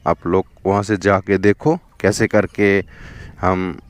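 Brief: young Bengali-accented male motovlogger, lowish voice, chatty, keeps saying "okay" and "look", close dry action-cam sound. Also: level tone, takes it slowly, dry recording. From West Bengal, India. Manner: chatty, repeats "theek hai" and "dekho". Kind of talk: casual vlog narration while riding. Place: outdoors on a riverbank, on a motorbike. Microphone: helmet or chest action camera.

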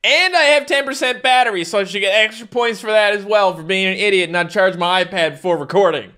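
A young man from Newfoundland hosting a casual let's-play, excitable, yelping and joking at the game. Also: high voice, quick pace, clear, resonant tone. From Newfoundland, Canada. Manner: excitable, yelps and jokes at the game. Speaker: gaming channel host. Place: home recording room.

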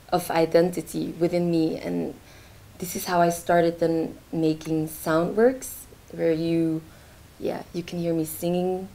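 Young woman artist, slow halting talk with fillers, lowish voice, presenting in a lecture hall. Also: vocal fry, dark tone. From Belgium. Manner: hesitant, many fillers, gestures while talking. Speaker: artist presenting her work. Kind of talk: informal talk at a launch event. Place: lecture theatre, indoors.

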